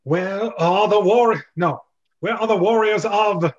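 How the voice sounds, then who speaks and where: A man voicing a gruff, dismissive old wizard leader in an amateur script reading. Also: sits high, slow pace, dull audio. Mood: thundering.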